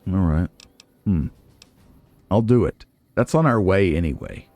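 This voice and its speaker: A man hosting a let's play with casual, musing commentary, as if thinking out loud to himself. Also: deep voice, animated, measured pace, no room sound, soft background music. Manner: musing, nitpicking, talks to himself.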